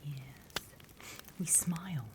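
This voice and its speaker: A British woman voicing a prim demon teacher in a soft, deliberate whispered ASMR roleplay. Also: sits low, noisy background. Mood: patient, instructive. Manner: soft, deliberate, instructional, with pauses. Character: female demon teacher, softly menacing, encouraging, prim.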